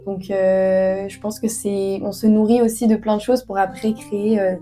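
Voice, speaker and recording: A woman's voice: mid-range voice, little pitch movement, normal speed, dark tone, faint music behind. A young woman in her twenties from France, answering interview questions earnestly with many hesitations and fillers. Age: 20s. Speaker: author guest.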